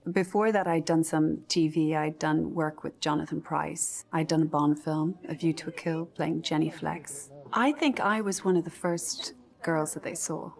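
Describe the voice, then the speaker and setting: Gravelly-voiced woman recalling her work. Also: low voice, darkish tone. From Ireland. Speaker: actress. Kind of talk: reflective interview recollection.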